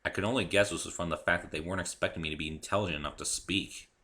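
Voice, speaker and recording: A man's voice: sits low, steady tone, racing, pushed and bright. A man reading a first-person story aloud as a dramatic narration. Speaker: amateur reader and author of the story.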